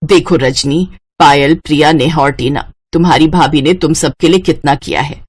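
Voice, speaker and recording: A woman's voice: deep voice, moderate pace, slightly rough, voice pushed down. A woman voicing an elderly, motherly mother-in-law who dotes on her daughters in a family story. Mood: admonishing.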